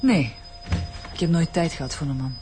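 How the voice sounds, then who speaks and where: A woman's voice: deep voice, moderate pace, dark tone, soft background music, lightly acted. An actress playing a guarded, protective unmarried woman from a farming family, with a Flemish accent, in a radio drama.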